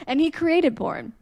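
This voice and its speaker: Higher-voiced woman hosting a podcast. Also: a little mellow, no room sound. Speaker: podcast host. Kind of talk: casual chat.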